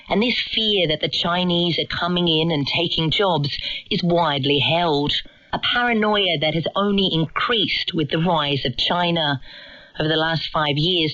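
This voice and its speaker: A man's voice: a little high, bright, forceful tone, no echo, lo-fi sound. A man narrating a scripted radio documentary as a reporter, heard over shortwave radio. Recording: band-limited shortwave radio recording, music behind.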